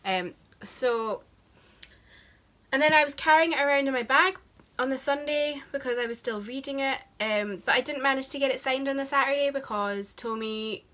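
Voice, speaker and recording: A woman's voice: high voice, normal speed, a bit bright. A young woman, chatting to camera as a book vlogger. She has a cold and sounds nasal, and she rambles with lots of fillers. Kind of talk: casual chat to camera.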